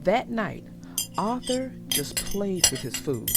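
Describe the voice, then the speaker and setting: Slow, animated woman storyteller for children. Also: mid-range voice, gravelly. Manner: deliberate, expressive, addresses young listeners directly. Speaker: children's story narrator and channel host. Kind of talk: read-aloud storytelling for young children. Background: music.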